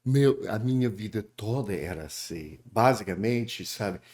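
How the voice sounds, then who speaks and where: Man speaking halting Portuguese. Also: on the low side, animated, a little mellow. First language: English. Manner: halting, restarts, repeats words. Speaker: guest preacher. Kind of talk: casual interview chat.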